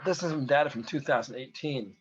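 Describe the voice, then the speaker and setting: Hoarse man presenting research. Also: mid-range voice, quick pace. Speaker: presenter, researcher giving a talk to beekeepers. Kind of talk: informal technical presentation with data. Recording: dull, lo-fi audio.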